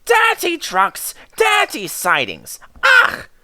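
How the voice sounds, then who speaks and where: Vain, disgusted talking engine, high-pitched and fastidious, storybook character. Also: male voice, wildly swooping pitch, sharp tone, no echo, full character voice.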